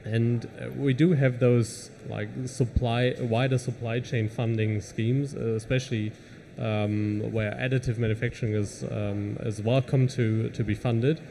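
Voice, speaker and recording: A man's voice: mid-range voice, steady tone, measured pace, vocal fry, hardly any pauses, warm tone, a little hiss or hum. A man from Germany with a German accent, speaking as a panelist in a panel discussion, hesitant with many fillers.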